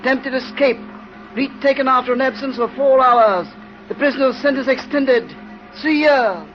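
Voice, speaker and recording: An actor playing a stern, cold, pompous judge with formal, officious pronouncements in an old radio drama. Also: male voice, very high-pitched, normal speed, soft background music. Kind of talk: 1930s radio drama acting. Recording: dull old radio recording, faint noise.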